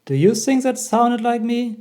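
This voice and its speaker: A young man with a German accent, hosting a casual software tutorial and chuckling at the results. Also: very high-pitched, a little slow. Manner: chatty, laughs often at results. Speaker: tech tutorial host. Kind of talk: casual screen-recorded tutorial.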